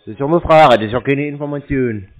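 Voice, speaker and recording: A man answering cold calls in casual, terse, deadpan stonewalling replies. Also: medium pitch, fast talker. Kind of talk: casual phone talk. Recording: thin, band-limited phone call sound.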